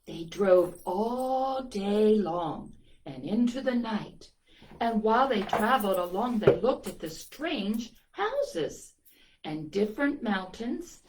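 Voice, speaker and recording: A woman's voice: medium pitch, darkish tone, poor audio. A woman reading a picture book aloud to children, telling it expressively and adding little asides to her young listeners. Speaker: children's storytime reader.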